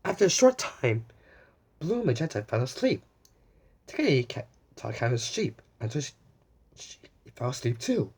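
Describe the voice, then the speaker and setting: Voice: medium pitch, big pitch swings, moderate pace, pauses often, darkish tone, breathy edge. A story time host reading a storybook aloud to young children, with exclamations, asides and repeated phrases.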